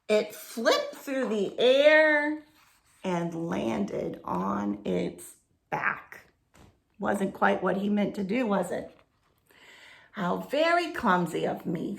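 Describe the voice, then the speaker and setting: A woman storyteller reads a children's book with very lively, swooping intonation. Her voice has a creaky edge, and she stretches phrases for effect. She often turns to her young listeners with little questions and asides. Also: medium pitch. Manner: animated, drawn-out phrasing, asks the listeners questions. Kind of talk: expressive picture-book read-aloud with asides to children.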